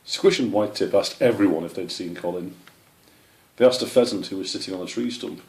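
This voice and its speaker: A man reading a children's story aloud in an expressive narrating voice. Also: deep voice, fairly quick. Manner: expressive, with character voices for animals. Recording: roomy, echoey sound.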